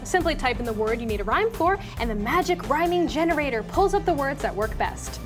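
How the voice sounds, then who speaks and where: A woman in her thirties with an American accent, casually presenting and reading aloud in an upbeat, playful manner as a show co-host. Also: sits high. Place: TV studio at a desk.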